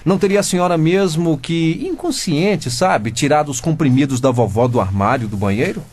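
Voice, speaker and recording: A man's voice: on the high side, moderate pace, a little mellow, close to an everyday voice. A man playing a cheeky, officious teenage boy who questions people like a detective in a comic radio drama. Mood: officious, probing. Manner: mock-formal, inquisitive.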